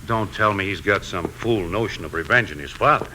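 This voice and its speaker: An American actor playing a troubled, folksy Western sheriff in a 1950s radio drama. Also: male voice, low-pitched, fairly quick, pushed and bright. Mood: uneasy. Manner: folksy, emphatic. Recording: noisy 1950s radio broadcast.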